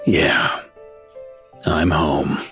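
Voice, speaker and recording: An actor playing a weathered, good-humoured middle-aged knight grandmaster in a video game, measured, easygoing and wry. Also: male voice, rumbling low, fairly even intonation, vocal fry, sharp tone, exaggerated voice. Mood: heartfelt, relieved. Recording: game music under the voice.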